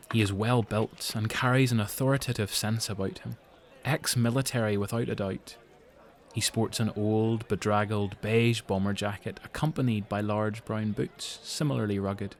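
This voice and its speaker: A man with a Northern Irish accent giving scripted, dramatic narration for an audio drama. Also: fairly low pitch. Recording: noticeable background noise.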